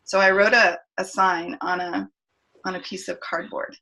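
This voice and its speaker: A woman's voice: lowish voice, flat delivery, normal speed, creaky, bright, forceful tone, poor audio. A woman, a singer and voice coach, talking as a podcast guest and telling a reflective personal story with many restarts and fillers. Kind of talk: casual podcast interview.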